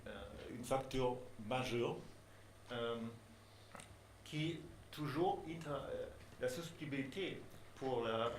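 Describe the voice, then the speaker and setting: A man who is not a native French speaker presents a research project, pausing often and restarting his phrases. His voice sits at a middle pitch. The room gives it a roomy echo, with faint noise behind. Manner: halting, many pauses and restarts. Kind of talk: hesitant formal presentation in a second language.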